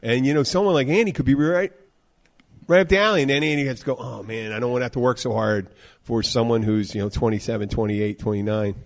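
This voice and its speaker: A man's voice: mid-range voice, steady tone, fast talker. Male host, 50s, bartender type, loose rambling delivery that slips into quoted voices. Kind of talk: casual chat.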